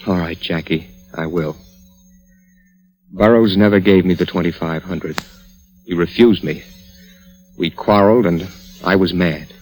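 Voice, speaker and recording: A deep-voiced, guilt-ridden radio husband. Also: male voice, a little slow, pauses often, darkish tone. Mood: ashamed confession. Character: guilt-ridden, frightened, sullen husband in trouble. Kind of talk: 1940s radio acting. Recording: vintage radio recording with music.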